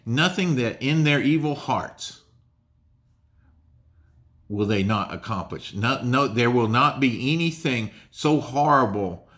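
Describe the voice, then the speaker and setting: Rambling pastor preaching a sermon. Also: male voice, lively intonation, takes it slowly, a bit bright. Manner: rambling, repeats words and restarts, rises in pitch for emphasis. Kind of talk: conversational sermon.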